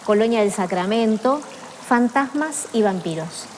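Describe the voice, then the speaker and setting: A woman's voice: fairly high pitch, animated, unhurried. Host, woman, upbeat presenting, raised voice, faint noise. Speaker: host and interviewer. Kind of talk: casual chat and interview. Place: museum.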